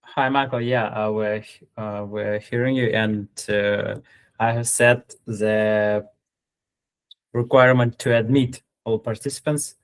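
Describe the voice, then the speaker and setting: A man hosting an online meeting as an educational facilitator, speaking informally and haltingly in non-native English phrasing. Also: on the low side, steady tone, clean tone. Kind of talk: hesitant, informal stream hosting.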